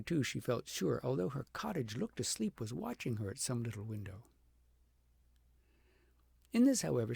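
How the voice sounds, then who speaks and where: A man with a husky, creaky voice reads a storybook aloud in an expressive, deliberate way. His pitch sits in a middle male range, and he leans on key words, then eases into softer phrases. The recording is close and dry. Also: no echo. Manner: dramatic, deliberate phrasing with emphatic swells and hushed drops. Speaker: audiobook narrator reading a fairy tale aloud.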